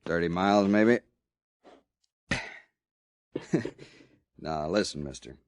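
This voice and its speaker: An actor playing a blunt, wary frontier newspaperman in a 1950s radio drama, with a gruff casual drawl. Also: deep voice, takes it slowly, dry recording, clean studio sound.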